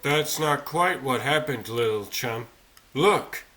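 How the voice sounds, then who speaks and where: A man playing an earnest, slightly patronizing noble superhero in a cartoon comic dub, formal and measured. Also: mid-range voice, lively intonation, sharp tone, exaggerated voice. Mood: calm, concerned.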